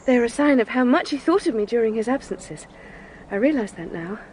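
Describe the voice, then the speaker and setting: An actress playing a sorrowful, gentle young gentlewoman in a period audio drama, earnest and wistful. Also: fairly high pitch, lively intonation, fairly quick, slight background noise, lightly acted, rough recording. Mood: wistful, confiding.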